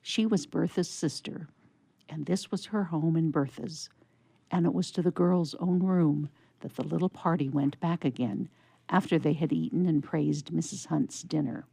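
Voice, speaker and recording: Woman, story narrator, measured delivery, gravelly low register, dry studio sound. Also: mellow, no echo.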